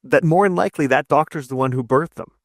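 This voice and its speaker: A man with a low-creaking, gravelly voice talks like an instructor in an interview. He explains emphatically, doubling back on words and tagging points with "right?", in a dry, close sound. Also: fast, no echo. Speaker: narrative design instructor, podcast guest. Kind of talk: conversational interview, explaining craft advice.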